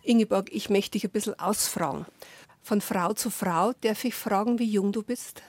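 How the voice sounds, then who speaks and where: Brief: woman radio host, chatty interviewer, mid-pitched, dry studio sound. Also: moderate pace, slightly rough, no echo. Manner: conversational, asks gentle follow-up questions. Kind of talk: radio music program and interview.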